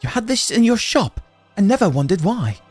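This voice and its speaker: Man putting on a high, incredulous young girl. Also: very animated, moderate pace, darkish tone, soft background music. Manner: exclaiming, challenging. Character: outspoken, incredulous young girl, excitable and sharp.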